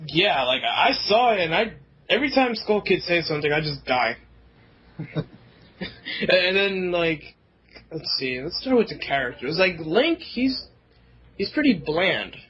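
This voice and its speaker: A man's voice: high voice, big pitch swings, measured pace, long pauses, fairly bright tone, muffled recording, a little hiss or hum. A young man co-hosting a casual podcast, giggling often as he chats. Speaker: podcast co-host.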